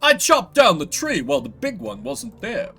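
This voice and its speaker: A man's voice: very high-pitched, very animated, normal speed, gravelly, bright, forceful tone, husky, heavily acted. A man voicing a boastful, theatrical dragon slayer in a fantasy video game, pompous and florid in his storytelling. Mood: dramatic bragging. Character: boastful male dragon slayer, grandiose, theatrical, self-styled hero.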